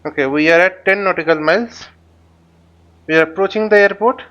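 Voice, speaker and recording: A man giving an informal flight simulator tutorial, explaining haltingly as he thinks aloud. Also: high-pitched, fairly bright tone. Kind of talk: informal tutorial commentary while flying a simulator.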